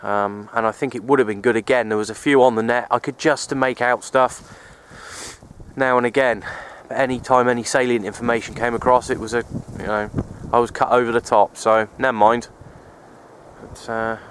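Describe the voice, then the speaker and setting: A man, rambling casually in a low-key, resigned way as he narrates a vlog on a handheld camera. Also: level tone, moderate pace, fairly bright tone. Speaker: outdoor radio hobbyist vlogger. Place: outdoors on an open wooded hilltop. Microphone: handheld camera's built-in mic, held by speaker. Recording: outdoor handheld camera, wind and ambient noise.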